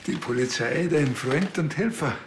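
A man's voice: a little high, moderate pace, natural voice. An actor playing a chatty, awkward rural policeman with a Bavarian accent and a rambling, earnest manner. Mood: awkward, flirtatious. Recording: loud vehicle and ambience noise.

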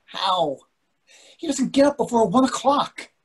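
Husband and father older man actor: husky, slightly worn voice, earnest, fretful, needling, conversational play reading. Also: extremely high pitch, lively intonation, a little slow, heavily acted. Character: anxious, fretful middle-aged husband and father. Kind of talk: readers theatre play reading. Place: indoors, on a video call.

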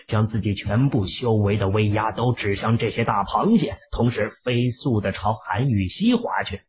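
Husky, low male narrator, emotive novel reading, dull lo-fi audio. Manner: emotive storytelling. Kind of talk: scripted narration of a fantasy novel. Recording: muffled lo-fi audio.

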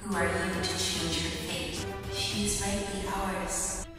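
A woman's voice: medium pitch, little pitch movement, measured pace, natural voice. A woman voicing stern, ancient forest spirits who speak in solemn, formal commands, in a comic fandub. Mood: stern, imperious. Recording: cavernous long reverb, distant, music behind.

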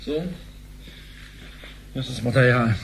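Wry, argumentative middle-aged banker, disgruntled and distrustful, sci-fi radio drama; medium pitch, faint noise. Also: male voice, slow pace, vocal fry.

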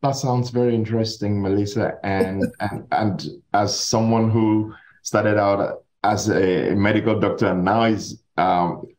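Low-voiced man co-hosting a podcast. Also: fairly even intonation, measured pace, darkish tone. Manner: friendly, laughs between phrases. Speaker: podcast co-host, anatomy teacher. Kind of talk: casual podcast interview.

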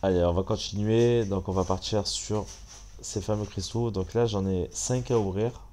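A man's voice: lowish voice, moderate pace. A man with a French accent and a stuffy head cold, chatting casually as he comments on a mobile game. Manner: relaxed, chatty, many fillers. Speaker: gaming channel host.

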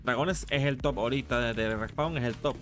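A man's voice: medium pitch, fairly quick, fairly bright tone, soft background music. A man with a Latin American Spanish accent chatting casually on a live gaming stream, informal and slangy, with some casual swearing. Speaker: game streamer.

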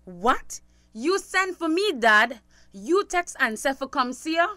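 A woman's voice: sits high, big pitch swings, slow, sharp tone, no room sound, exaggerated voice. A woman acting in a radio drama as a defiant young adult daughter with a Belizean Creole accent.

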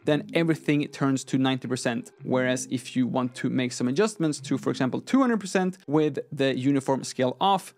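A young man, a non-native English speaker, hosting a conversational software tutorial with an informal, step-by-step explanatory manner into a close microphone. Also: medium pitch, fairly quick, hardly any pauses. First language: not English. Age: young adult. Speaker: tutorial host. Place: small room at a desk, indoors. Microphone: close microphone on an arm.

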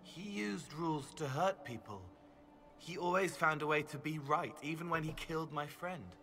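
Solemn haunted young man from a video game, bright soft voice, simple phrases. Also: fairly high pitch, normal speed, faint music behind. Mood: quietly grave. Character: soft-spoken, haunted young male companion, earnest.

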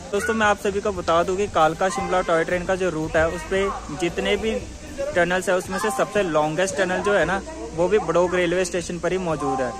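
A man vlogging a mountain train journey, chatting like a tour guide and leaning on the same filler phrase. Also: sits high. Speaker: travel vlogger. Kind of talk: travel vlog narration. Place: aboard a mountain toy train and on station platforms, outdoors.

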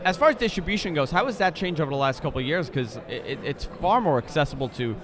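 Slightly high-voiced male interviewer in his thirties. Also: expressive, fast talker, crowd noise. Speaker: interviewer, podcast host. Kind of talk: casual conversational interview. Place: convention expo booth, indoors. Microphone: close studio microphone on desk.

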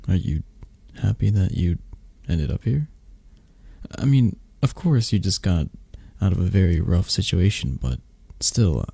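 A man playing a possessive, tender mafia boss lover in intimate roleplay ASMR, murmuring softly and hesitantly with a breathy, gravelly voice. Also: deep voice, no echo, exaggerated voice.

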